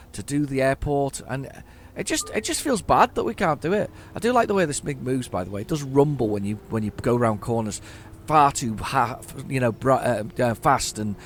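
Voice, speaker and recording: A man with a hoarse, worn voice talks his way through a driving game, pitched in an ordinary middle register. He wanders off topic, stumbles over repeated words and mutters asides to himself. A faint hum of noise sits underneath. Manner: rambling, stammering repeats, chatting to himself. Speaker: gaming YouTuber. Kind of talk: casual gameplay commentary.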